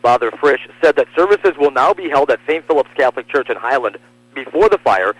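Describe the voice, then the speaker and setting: A man's voice: mid-range voice, animated, a little fast, dry recording, poor audio. An American man reading scripted radio news as a reporter and anchor.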